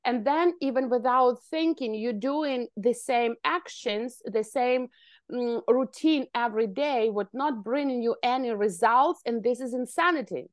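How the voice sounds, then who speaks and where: Energetic high-voiced woman. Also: unhurried, dry recording. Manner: energetic, emphatic, non-native grammar. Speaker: guest, hair stylist and business owner. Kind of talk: podcast interview.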